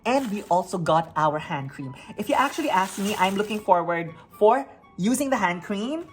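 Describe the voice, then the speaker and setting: A woman's voice: mid-range voice, expressive, normal speed, soft background music. A woman in her forties hosting a beauty unboxing vlog, bubbly and upbeat, reading product labels aloud from her home. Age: 40s. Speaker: beauty vlogger. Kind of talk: unboxing review. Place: home near a busy street.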